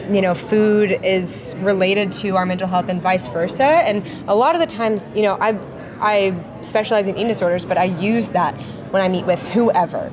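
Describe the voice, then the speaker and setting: A woman in her twenties from Philadelphia, a dietitian guest on a podcast, chatty and friendly with many fillers. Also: medium pitch. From Philadelphia, United States. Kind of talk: casual interview conversation. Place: busy conference floor.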